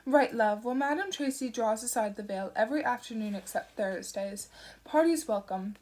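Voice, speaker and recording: A woman's voice: on the high side, moderate pace, darkish tone, lightly acted. A woman voicing a chatty, brassy middle-aged fortune-teller who calls everyone love.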